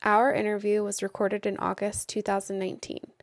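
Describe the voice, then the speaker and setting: A woman's voice: mid-range voice, completely flat delivery, a little fast, no echo, clean studio sound. A woman from Idaho hosting a podcast interview, asking questions in an upbeat, encouraging way. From United States, southern Idaho. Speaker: podcast host.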